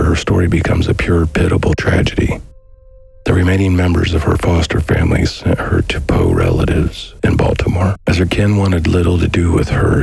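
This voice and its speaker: A man reading a scripted documentary narration in a measured, formal manner. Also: sits low, creaky. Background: music.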